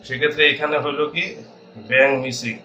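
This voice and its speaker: Bright-voiced Bangladeshi man, halting tutor. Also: on the high side, fairly even intonation, muffled recording. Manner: halting, thinking aloud, mixing in English accounting terms. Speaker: accounting instructor. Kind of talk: informal tutorial explanation.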